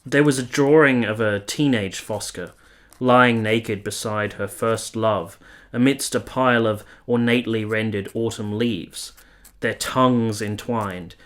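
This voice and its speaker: An actor playing a brooding, conflicted nineteenth-century soldier, narrating a literary radio play in a formal, measured, eloquent manner. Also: male voice, fairly low pitch, a bit bright.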